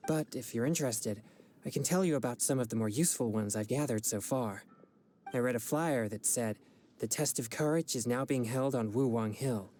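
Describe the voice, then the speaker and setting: A man's voice: medium pitch, moderate pace, mellow, a little hiss or hum, lightly acted. An actor playing a polite, earnest young male exorcist in a video game, with a formal, courteous manner. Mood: serious, explaining.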